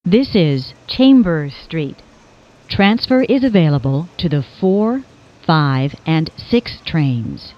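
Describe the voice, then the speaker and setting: A woman reading scripted automated subway announcements in a measured, clearly enunciated way, with phrases pieced together. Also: deep voice, very animated, no echo. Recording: dull lo-fi recording.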